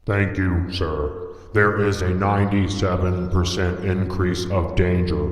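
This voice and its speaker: A man voicing a polite, literal-minded robot assistant with a formal, deliberate manner in a scripted game roleplay. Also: low-pitched, little pitch movement. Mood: matter-of-fact warning. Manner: formal, deliberate, matter-of-fact. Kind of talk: scripted Minecraft roleplay acting. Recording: echoey, long reverb, music behind.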